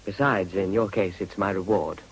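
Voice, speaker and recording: Low-voiced, suave English parliamentarian. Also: male voice, steady tone, normal speed, a little hiss or hum, low-quality recording. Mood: gallant. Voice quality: polished, urbane diction. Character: suave, courteous English parliamentarian, gallant and reserved. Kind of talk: period radio drama acting.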